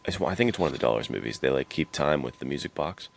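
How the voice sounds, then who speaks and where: Extremely deep, gravelly man chatting casually. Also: lively intonation, fast talker. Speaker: podcast host. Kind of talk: casual chat about western films.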